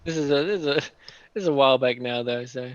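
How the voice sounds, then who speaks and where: A man chatting casually in a hesitant, halting way with frequent restarts. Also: mid-range voice, no room sound. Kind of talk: casual chat. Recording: dry, dull lo-fi sound.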